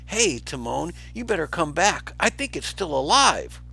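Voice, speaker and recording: A man's voice: fairly high pitch, big pitch swings, measured pace, gravelly, bright, forceful tone, slightly rough, faint music behind, voice pushed up, heavily acted. A man voicing a big-hearted, simple, friendly warthog with a gleeful, naive manner for a storybook. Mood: pleading, excited.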